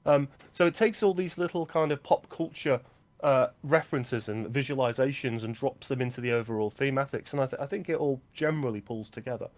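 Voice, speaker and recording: A man's voice: mid-range voice, a little fast. A man co-hosting a film podcast, talking casually with an analytical, opinionated manner.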